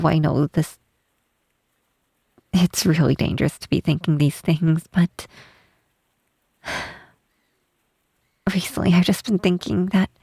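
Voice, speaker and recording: Give this as a woman playing a gentle giant of a half-troll cleric in an intimate ASMR roleplay. She speaks low and slowly, halting and tender, with a shy wistfulness. The sound is close and very dry. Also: no room sound, full character voice. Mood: troubled, confiding. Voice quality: soft, breathy. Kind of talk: ASMR roleplay, intimate softly spoken acting. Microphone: close microphone, dry.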